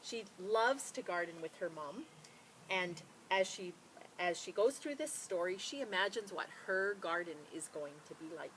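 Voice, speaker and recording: A woman who works as a teacher librarian, talking and reading a picture book to children in an expressive, enthusiastic way. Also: on the low side, moderate pace, slight background noise, rough recording. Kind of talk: children's story read-aloud with casual introduction.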